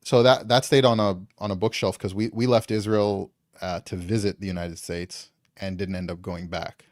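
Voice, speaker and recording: Deep voiced guy from canada rambling along, lots of um and like, dry close sound. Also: fairly even intonation, moderate pace, no room sound. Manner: loose and rambling, full of ums and likes. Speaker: guest. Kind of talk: casual conversation.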